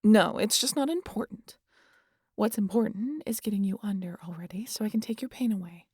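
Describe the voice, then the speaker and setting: A woman playing a sardonic, guarded vampire in an intimate audio roleplay, with a gravelly, husky voice and a teasing, exasperated manner that slips into breathy whispers. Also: mid-range voice, fairly quick, a little mellow, no room sound, clean studio sound, close to an everyday voice. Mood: wry, reluctant. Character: sardonic, guarded female vampire hypnotizing an injured hunter.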